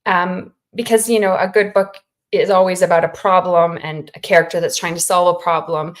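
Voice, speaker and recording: A woman's voice: on the low side, steady tone, moderate pace. A middle-aged woman, an author, giving thoughtful, encouraging answers to questions in a conversational way. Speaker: children's author, interview guest.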